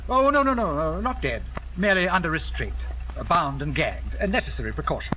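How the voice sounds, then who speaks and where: A man's voice: fairly high pitch, sing-song, brisk, dull audio. A man playing a florid, pompous male coin dealer in a vintage radio drama, talking in hesitant, flowery phrases over hissy old broadcast sound. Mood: smooth, wheedling. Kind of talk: 1940s radio acting.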